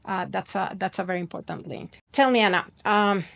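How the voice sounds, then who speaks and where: A woman hosts an interview podcast and draws out her guest's story. Her voice has a marked gravelly fry, and she talks with many fillers and repeated starts. Her pitch sits in an everyday mid range. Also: fairly quick, a bit bright. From born outside the United States. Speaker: podcast host.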